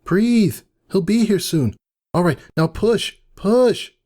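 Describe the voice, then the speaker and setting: A man's voice: sits high, expressive, slow pace, darkish tone, voice pushed up, exaggerated voice. A man voicing an urgent female pony midwife, insistently coaching in a dramatic story reading. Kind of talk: dramatic audiobook character voice.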